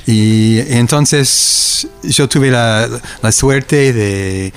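An older man from the United States with an American accent, a native English speaker, answering interview questions reflectively and haltingly, often repeating syllables as he searches for words. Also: mid-range voice, clean tone. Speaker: interviewee, academic eyewitness.

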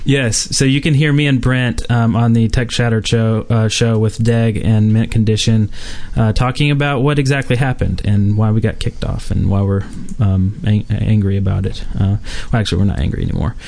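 A man's voice: fairly low pitch, fairly even intonation, moderate pace, vocal fry, hardly any pauses, darkish tone. Rambling man hosting a gaming podcast. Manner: rambling, many fillers and restarts. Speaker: podcast host. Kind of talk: casual podcast chat reading gaming news.

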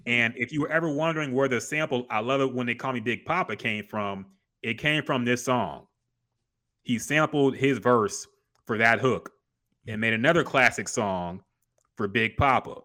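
A man's voice: medium pitch, normal speed, pushed and bright. A man hosting a casual chat, ranting bluntly and profanely about an old rap verse. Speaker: podcast host.

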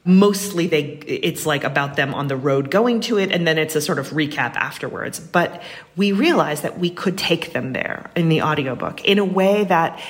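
A woman's voice: low-pitched, animated, moderate pace. A woman speaking as a writer being interviewed, earnest and emphatic, thinking aloud as she goes. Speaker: guest, writer. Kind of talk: reflective interview conversation.

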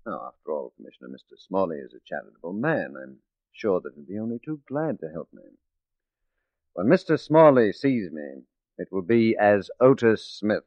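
An actor playing a shrewd, determined police detective in a 1940s radio drama, speaking in a measured, persuasive way. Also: male voice, on the low side, sing-song, dry recording. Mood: sly, confident. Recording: muffled 1940s radio recording.

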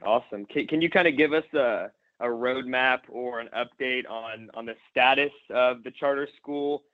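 A man's voice: medium pitch, normal speed, no echo. A man hosting a casual sports podcast interview, asking wordy questions in a hesitant way. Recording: dull, lo-fi, dry, music behind.

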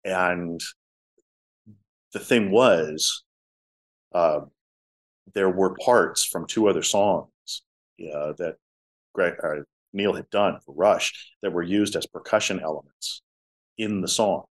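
A man with a low voice, a musician telling stories in a casual interview. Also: slow pace, pauses often. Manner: talkative storyteller, drops to soft tones. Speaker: musician guest.

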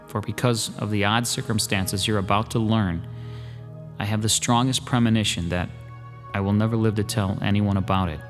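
A man's voice: fairly low pitch, fairly even intonation, moderate pace, soft background music, natural voice. Man playing a weary, broke artist. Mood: brooding. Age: 40s. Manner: weary, brooding, confessional. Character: broke, weary male artist in his forties. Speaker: narrating protagonist. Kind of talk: first-person radio drama.

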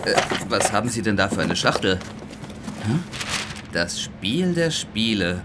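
A man's voice: fairly low pitch, big pitch swings, a little slow, noisy background. A man playing a bored, absent-minded train conductor in a children's audio drama. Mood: distracted. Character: bored, easily absorbed male train conductor.

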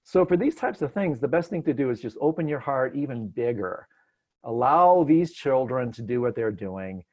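A man's voice: medium pitch, animated, normal speed. Man teaching over a muffled online call. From Detroit. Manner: gentle, searching, many restarts. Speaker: meditation teacher answering questions. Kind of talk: relaxed spoken teaching, unscripted. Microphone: video call.

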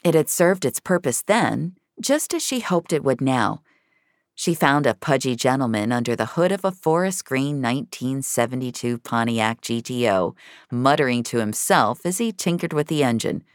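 Very deep-voiced, creaky female narrator. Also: lively intonation, moderate pace, dry recording, clean studio sound. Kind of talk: scripted audio fiction narration.

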